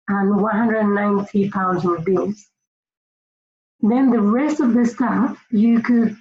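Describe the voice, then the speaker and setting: Spanish-accented woman in an echoey room. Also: mid-range voice. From Spain. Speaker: finance consultant giving budgeting tips. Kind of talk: informal instructional talk to camera. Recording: roomy echo, dull lo-fi sound, faint noise.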